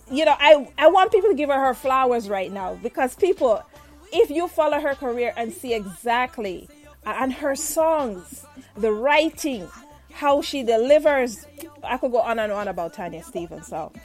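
A woman interviewer with a fairly high voice, effusive and gushing with praise. She laughs easily as she talks. Faint music plays behind her. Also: animated, normal speed. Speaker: radio show host. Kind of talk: lively online interview.

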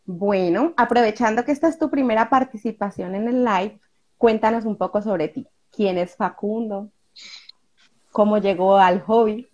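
A woman's voice: mid-range voice, moderate pace, darkish tone, muffled recording. A woman hosting a conversational live interview, putting questions to her guests in Spanish. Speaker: host.

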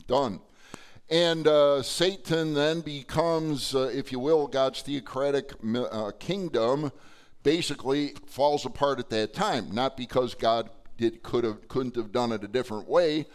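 A man preaching a sermon to his congregation, his voice slightly hoarse, talking in a conversational way with frequent fillers. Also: mid-range voice. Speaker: pastor. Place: church sanctuary.

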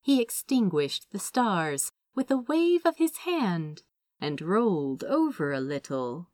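A woman narrates a story in a lowish voice at a very unhurried pace. Her delivery is expressive and animated in pitch, and the recording is dry and close. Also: dry recording. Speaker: fan fiction audiobook reader.

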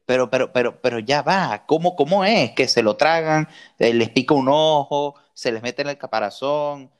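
A man's voice: medium pitch, normal speed, clear, resonant tone. A man with a Venezuelan accent co-hosting a casual podcast, speaking emphatically and often repeating words and rhetorical questions. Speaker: podcast co-host. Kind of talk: casual opinionated podcast chat.

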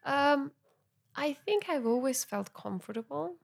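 A young woman whose first language is Italian, a musician chatting in an interview with many fillers and soft laughs. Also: sits high, expressive, unhurried, creaky. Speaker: musician guest. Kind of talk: casual interview chat.

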